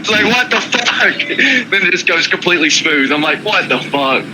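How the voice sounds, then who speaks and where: A man's voice: high-pitched, expressive, normal speed, noisy background. A man with a Southern American accent, chatting casually and swearing freely while driving. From Southern United States.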